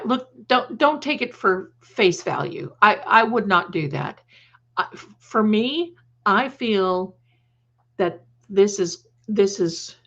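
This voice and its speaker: A woman's voice: lowish voice, fairly bright tone, dull audio. A woman hosting a casual live chat, rambling and repeating phrases, with affectionate endearments for her viewers. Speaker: livestream host and creator.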